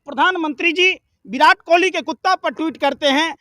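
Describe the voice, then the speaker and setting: A man's voice: extremely high pitch, brisk, pushed and bright, no echo, clean studio sound. A man speaking in a heated political argument, combative and indignant, with emphatic repetition and rhetorical questions.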